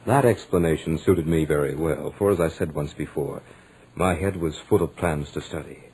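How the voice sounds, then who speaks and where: An actor playing a self-assured, studious young gentleman narrating his own tale in measured, literate 1940s radio drama style. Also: very deep voice, husky, heavily acted, rough recording. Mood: composed, amused.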